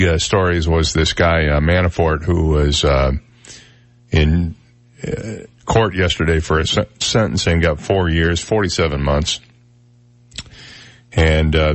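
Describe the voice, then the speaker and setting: A man's voice: very deep voice, dry recording. A man hosting a casual morning radio talk show, wry and conversational with lots of filler words, sounding a little stuffed up in the nose. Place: radio studio. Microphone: studio microphone.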